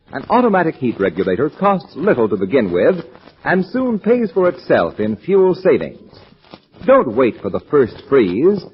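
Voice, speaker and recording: Animated 1940s radio pitchman, highish male voice. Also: breathy edge. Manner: emphatic, polished sales delivery. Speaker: radio commercial announcer. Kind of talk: 1940s radio advertisement, scripted. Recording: muffled old radio broadcast.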